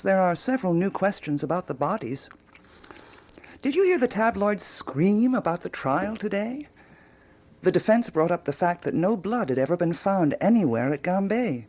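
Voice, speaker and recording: A woman's voice: lowish voice, darkish tone. An exuberant, swooping older woman intellectual. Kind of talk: radio drama dialogue.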